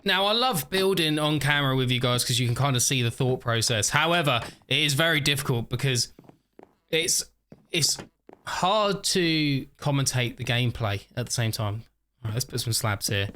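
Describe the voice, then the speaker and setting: A man's voice: mid-range voice, lively intonation, moderate pace, pushed and bright, clean tone. A man rambling through casual game commentary, overtired and a bit stuffy and nasal, often laughing at himself. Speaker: gaming YouTuber. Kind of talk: casual let's-play commentary.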